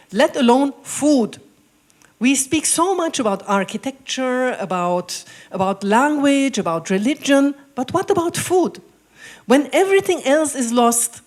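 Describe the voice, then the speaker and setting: Woman on a panel explaining stuff, steady, a bit high voice. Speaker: panel speaker. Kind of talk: academic panel talk.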